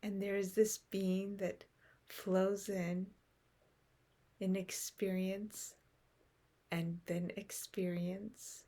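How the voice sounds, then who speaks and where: A woman leading a guided meditation in short, unhurried phrases with long pauses, softly trailing into breath at the ends. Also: mid-range voice, level tone. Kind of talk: guided meditation, unscripted and contemplative.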